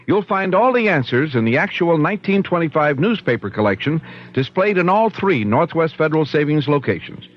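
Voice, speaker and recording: An upbeat male announcer reads a vintage radio ad. His voice sits fairly high and moves around with lively pitch, on a dull lo-fi broadcast. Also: a little fast. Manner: upbeat salesman delivery. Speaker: commercial announcer. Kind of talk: scripted 1970s radio ad read.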